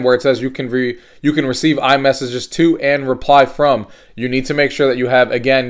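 A man with a mid-pitched voice hosts a casual tech tutorial. He talks quickly and walks through each step in an instructional manner, repeating key points for emphasis. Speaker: host of a tech tutorial channel.

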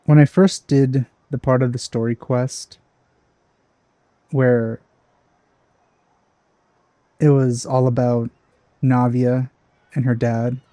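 A man's voice: mid-range voice, little pitch movement, slow pace, pauses often, dark tone, no room sound. A man giving casual let's play commentary as a gaming host, musing and hesitant. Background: game music.

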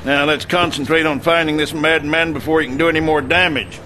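An actor playing an older American army general in a game cutscene, gruff and folksy with emphatic delivery. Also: male voice, a little high, steady tone, brisk, background noise, close to an everyday voice. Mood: gruff, stern. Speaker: military general.

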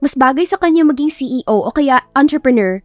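A woman's voice: high voice, lively intonation, fast, no echo, faint music behind, pitched above the performer's own voice. A woman voicing a playful, teasing young female teacher in an audiobook love story. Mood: gushing, teasing.

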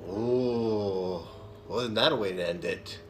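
A man's voice: on the low side, slow, over music. A man in his twenties giving casual, off-the-cuff reaction commentary in a living room. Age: 20s. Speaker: reaction video host. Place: living room couch indoors.

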